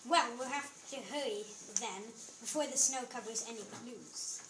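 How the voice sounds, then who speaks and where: A woman's voice: on the high side, a little slow, close to an everyday voice. A young female reader playing a grudging, teasing ghost in readers theatre. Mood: brisk. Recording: echoey room with clear noise.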